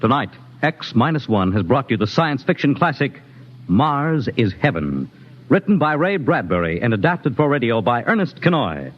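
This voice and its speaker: A male announcer reading the credits of a 1950s radio show in a formal, measured way. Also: mid-range voice, sing-song, background noise. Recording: dull old 1950s radio broadcast with music.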